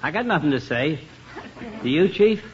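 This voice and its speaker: An actor playing a folksy, slangy, wisecracking small-town barber in a 1950s radio comedy. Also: male voice, on the high side, animated, moderate pace, lightly acted. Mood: joshing. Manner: slangy, informal. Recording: very noisy, muffled old radio recording.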